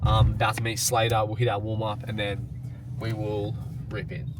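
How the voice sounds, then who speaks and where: A man's voice: fairly low pitch, completely flat delivery, moderate pace, noisy background. A young man with a head cold, sounding nasal, chatting casually and upbeat to camera with lots of fillers, first in a car and then at an outdoor sports field. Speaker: running vlogger. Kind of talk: casual vlog talk to camera.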